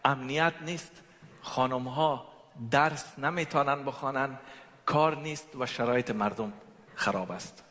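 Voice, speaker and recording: A man's voice: medium pitch, rapid-fire, fairly bright tone. A man from Afghanistan with an Afghan accent, a native Persian speaker, preaching and giving testimony in short passages for an interpreter. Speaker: guest preacher. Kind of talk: sermon with personal testimony, pausing for an interpreter. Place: large echoing church hall. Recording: long hall reverb.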